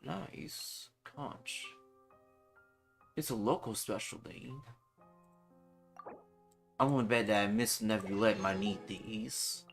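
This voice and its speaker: A man's voice: lowish voice, slow pace, gravelly, pauses often. A man streaming a video game live with casual, offhand commentary. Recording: game music behind voice. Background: video game music.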